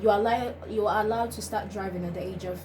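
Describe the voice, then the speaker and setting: A woman's voice: medium pitch, steady tone, fast. A young woman from Finland, the host of a vlog, chatting casually and animatedly with many fillers and laughs. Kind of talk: casual chat. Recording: noisy home recording.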